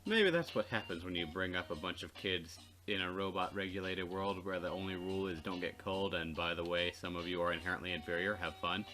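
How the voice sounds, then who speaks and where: A man's voice: deep voice, fairly quick, hardly any pauses, bright, forceful tone. A man casually narrating a game and bantering with a co-host. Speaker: let's player. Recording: game music behind the voice. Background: game music.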